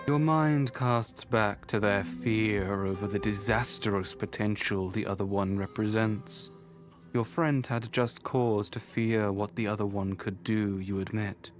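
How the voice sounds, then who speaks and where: Low-voiced solemn storyteller. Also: male voice. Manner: deliberate, literary. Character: solemn second-person storyteller of a dark fantasy tale. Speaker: narrator. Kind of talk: scripted visual novel narration.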